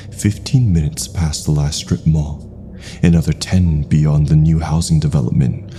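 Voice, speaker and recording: A man with a gravelly voice reading a scripted first-person horror story in a measured, brooding manner. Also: extremely low pitch, dark tone, slight background noise.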